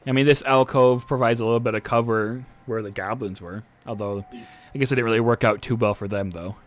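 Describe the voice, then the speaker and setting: A man's voice: medium pitch, steady tone, brisk. A man with a mid-range voice serves as game master at a tabletop role-playing game. He narrates the action and talks players through the rules in a casual way.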